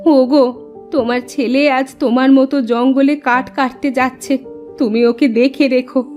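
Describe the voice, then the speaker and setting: A woman's voice: high-pitched, steady tone, unhurried, a little mellow, faint music behind. A woman voicing a worried, loving village mother in a cartoon, tender and anxious in manner. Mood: resigned, caring.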